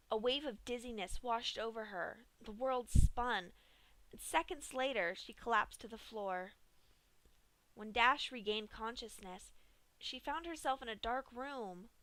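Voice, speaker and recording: A woman narrating a fan-fiction story aloud in an expressive reading. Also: a little high, no echo, poor audio.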